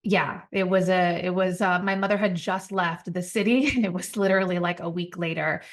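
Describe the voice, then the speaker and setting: A woman's voice: on the low side, normal speed. Reflective woman in her forties. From Puerto Rico. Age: 40s. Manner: reflective, storytelling, animated asides. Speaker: guest, company founder. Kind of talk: podcast interview.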